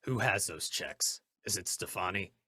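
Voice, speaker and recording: Man playing low, husky, commanding masked vigilante. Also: animated, pushed and bright, heavily acted. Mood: stern, probing. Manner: commanding, formal, deliberate phrasing. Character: commanding masked male crime-fighting vigilante, stern and righteous. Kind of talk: old-time radio drama acting.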